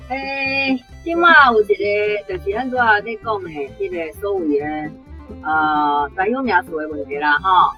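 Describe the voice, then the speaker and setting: A woman's voice: medium pitch, normal speed, dull audio, faint music behind. A woman with a Taiwanese accent explaining as a language teacher, hesitating and adding little tag particles. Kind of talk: spontaneous spoken explanation.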